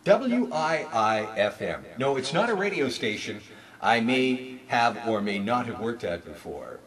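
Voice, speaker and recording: A man's voice: medium pitch, very animated, a little slow, fairly bright tone. A man giving an instructional talk in an emphatic, salesman-like manner, as a trainer in store announcements.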